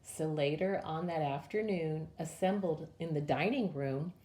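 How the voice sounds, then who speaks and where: A woman presenting story time for young children, reading a picture book aloud in a measured, expressive way. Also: deep voice.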